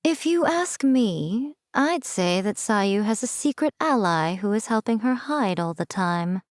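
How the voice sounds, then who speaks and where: A woman voicing a sly, elegant fox-spirit shrine priestess in a video game, with coy, teasing, haughty and measured delivery. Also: medium pitch, expressive, no room sound, studio quality, close to an everyday voice. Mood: wry. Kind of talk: game character voice lines, theatrical monologue.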